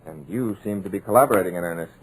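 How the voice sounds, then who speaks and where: An actor playing a wry, trapped screenwriter in a 1950s radio drama, with a husky, hard-boiled, wisecracking delivery in an old radio recording. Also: male voice, low-pitched, very fast, rough recording. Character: wry, trapped male screenwriter, tough-talking.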